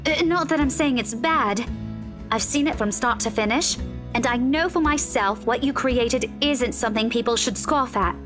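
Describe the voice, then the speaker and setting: An actress voices a playful, loyal young student in a visual novel game. Her voice is clearly high and her delivery casual and candid, over prominent background music. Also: normal speed, lightly acted. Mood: sincere, encouraging.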